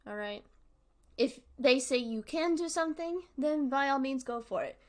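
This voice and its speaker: A woman's voice: high-pitched, animated, normal speed, darkish tone. A woman hosting a livestream, talking casually to viewers in a chatty, emphatic way and repeating points for emphasis. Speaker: streamer hosting a discussion.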